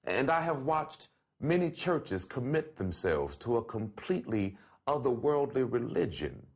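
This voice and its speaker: A man reading an open letter aloud in a measured, deliberate, formal delivery. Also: mid-range voice, big pitch swings.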